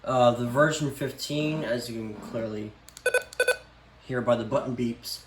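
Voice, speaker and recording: Echoey, offhand man vlogging casually. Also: medium pitch. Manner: halting, offhand. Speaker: vlogger showing a radio collection. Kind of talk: casual unscripted product show-and-tell. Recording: echoey room, background noise.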